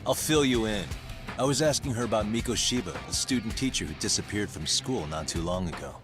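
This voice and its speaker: A man's voice: on the low side, animated, fairly quick, music in the background, natural voice. An actor playing a composed, wry male investigator in a video game, with a casual, dry, self-assured delivery. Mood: probing. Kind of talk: video game dramatic acting.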